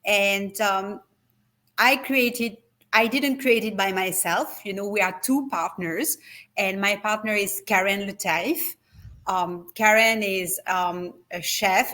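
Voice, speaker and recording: A woman's voice: medium pitch, fairly even intonation, slow, pushed and bright. A woman with a French accent, a guest talking in a casual interview over a video call, hesitant with many fillers and restarts. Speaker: guest, wellness retreat founder.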